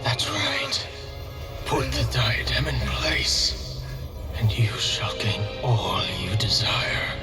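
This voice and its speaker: An actor voicing a sinister, confident tempter in a game, coaxing in an insinuating half-whisper. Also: male voice, mid-range voice, huge pitch swings, takes it slowly, over music. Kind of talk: dramatic game voice acting.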